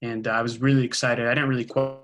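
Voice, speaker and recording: A man born in Israel and raised in Georgia, an artist introducing himself on a panel call in a relaxed, rambling way. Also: medium pitch, quick pace.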